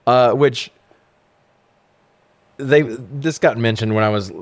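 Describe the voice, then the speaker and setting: A man's voice: mid-range voice, slow pace, no echo. A man co-hosting a casual movie-review show, recapping plots in a sweary, irreverent, joking way. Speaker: podcast co-host.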